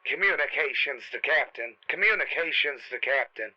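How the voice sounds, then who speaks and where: An actor playing a brisk, dutiful starship crewman in a radio drama, reporting through an intercom filter. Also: medium pitch, lively intonation, pushed and bright, no room sound. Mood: brisk, alert. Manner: clipped military reporting. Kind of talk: radio sci-fi drama acting. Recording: muffled, narrow intercom radio sound.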